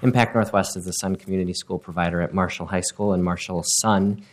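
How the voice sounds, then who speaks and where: A man's voice: low voice, fairly even intonation, fast talker. A man speaking as a program manager, giving a formal public presentation.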